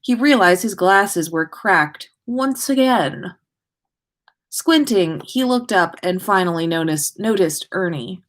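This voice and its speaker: Female livestream host and storyteller: informal, expressive, slower-paced, with a very animated pitch. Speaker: podcast livestream host reading listener stories. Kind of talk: casual livestream chat and expressive story reading.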